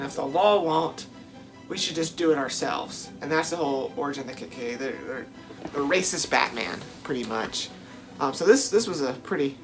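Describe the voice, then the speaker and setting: A man's voice: on the high side, rough recording. A man giving informal, emphatic video commentary as a host, repeating words for stress in a casual, conversational way. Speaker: video host reviewing films. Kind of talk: casual spoken vlog commentary.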